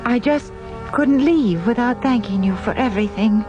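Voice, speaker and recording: An actress playing a grateful, genteel widow in a radio drama, effusive and drawn-out. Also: female voice, a little high, dull audio, over music, close to an everyday voice. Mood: gushing gratitude. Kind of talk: mannered radio drama acting.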